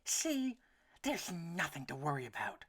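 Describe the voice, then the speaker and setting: A woman voicing a sensitive, timid little boy duckling in a storybook, in a high, childlike, earnest voice. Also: sing-song, measured pace, a bit bright, heavily acted.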